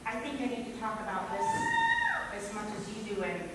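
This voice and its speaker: A woman playing a guarded but caring aunt in an amateur stage play, speaking haltingly. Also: medium pitch, close to an everyday voice. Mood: nervous, confiding. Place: community theatre stage in a hall. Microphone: distant audience camera. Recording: echoey hall from far off, noisy.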